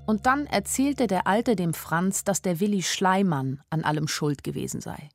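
A woman's voice: fairly low pitch, normal speed, no room sound, studio quality, faint music behind, lightly acted. An actress playing an anxious young woman who narrates her own story in a radio drama, in a plain, introspective way. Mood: sober narrating.

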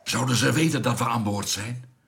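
A man's voice: mid-range voice, normal speed, fairly bright tone, lightly acted. An actor playing a hesitant, questioning spaceship crewman in a science fiction radio drama. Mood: uneasy. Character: low-voiced, questioning male spaceship crewman.

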